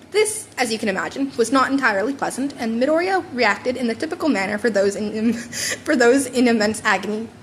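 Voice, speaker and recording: A woman's voice: a little high, a little hiss or hum. A woman reading fan fiction aloud in a wry, informal way, often stumbling and repeating words. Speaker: podfic reader narrating fan fiction. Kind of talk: scripted narration read aloud.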